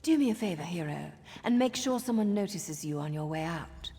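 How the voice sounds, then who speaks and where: A woman playing a sly, drily witty assassin in a video game, with a husky voice and a teasing, theatrical manner. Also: lowish voice, animated, fairly quick, warm tone, soft background music. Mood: teasing menace. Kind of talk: video game character dialogue.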